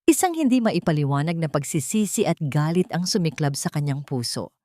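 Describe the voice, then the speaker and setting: A woman narrates a scripted drama in a somewhat low voice. Her delivery is solemn and melodramatic. The sound is very dry, with no room echo. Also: lively intonation, fairly quick, warm tone. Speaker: story narrator.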